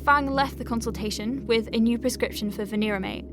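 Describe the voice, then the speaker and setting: A woman playing a conscientious, wry and compassionate doctor dictating patient notes in an audio drama, measured and articulate with conversational asides. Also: fairly high pitch, noisy background, natural voice. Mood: measured, uneasy. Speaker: doctor at a clinic.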